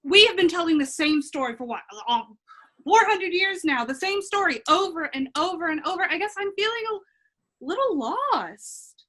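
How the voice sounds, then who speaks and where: Woman as a young fairy-tale girl, high bright voice, lonely, questioning, conversational, irreverent radio play. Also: lively intonation, moderate pace, heavily acted. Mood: questioning, lost.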